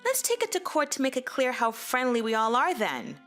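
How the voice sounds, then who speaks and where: Woman playing a high-voiced, assertive wronged homeowner. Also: very animated, fairly quick, fairly bright tone, soft background music. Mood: indignant. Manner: assertive, pointed. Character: wronged woman homeowner, firm, indignant, sharp-tongued. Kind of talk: manga dub voice acting.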